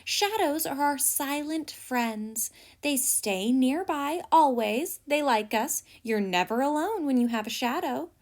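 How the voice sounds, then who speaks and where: A woman voicing a kindly, wise little male ant who gently explains and reassures in a children's storybook. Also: sits high, lively intonation, unhurried, few pauses. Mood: reassuring. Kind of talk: children's storybook read aloud.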